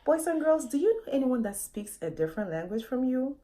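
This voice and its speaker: Expressive woman reading to children, very animated pitch. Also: a little fast. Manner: expressive, addresses children directly with questions. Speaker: author reading aloud. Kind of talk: children's story read-aloud.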